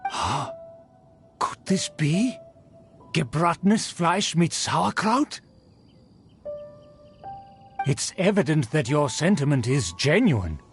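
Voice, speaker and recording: A haughty video game nobleman, with a fairly high male voice and sweeping, theatrical intonation. He is grandiose and condescending in his formal speech, over light background music. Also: big pitch swings, slow, long pauses, exaggerated voice. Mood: astonished, pleased. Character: pompous, haughty male aristocrat obsessed with noble etiquette.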